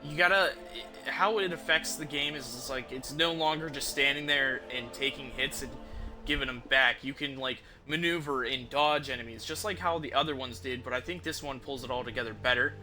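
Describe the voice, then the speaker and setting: A man's voice: mid-range voice, a little fast. A man hosting a game livestream, chatting to viewers in an informal, rambling, explanatory way without a script. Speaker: livestream host, gamer. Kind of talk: casual unscripted chat to stream viewers. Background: music behind the voice.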